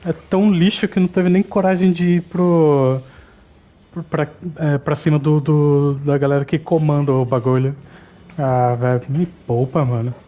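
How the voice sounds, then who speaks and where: A man's voice: fairly high pitch, fairly even intonation, moderate pace, narrow, tinny audio. A man with a Brazilian accent giving casual, slangy, sardonic live reactions as a game streamer.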